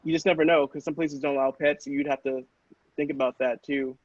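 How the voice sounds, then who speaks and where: A man's voice: on the high side, fairly even intonation, a little fast, no room sound. A man casually telling a personal story in a rambling, hesitant, self-questioning way. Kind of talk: casual personal anecdote chat. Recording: dull, dry, lo-fi audio.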